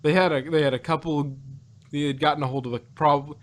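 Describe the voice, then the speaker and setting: A man co-hosting a review podcast in casual, unscripted chat, rambling and often rephrasing himself with animated asides. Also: a little high, a little fast. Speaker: podcast co-host reviewing audio dramas.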